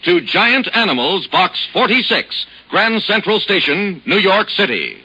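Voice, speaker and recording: Male pitchman, lively and sharp, vintage radio ad, dull sound. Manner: brisk, hard-sell pitch. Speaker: radio commercial announcer. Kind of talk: hard-sell radio ad.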